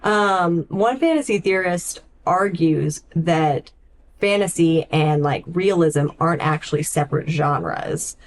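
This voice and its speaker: A woman co-hosting a podcast, casually discussing literature in a thoughtful, halting way with frequent "like". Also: fairly low pitch. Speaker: podcast co-host.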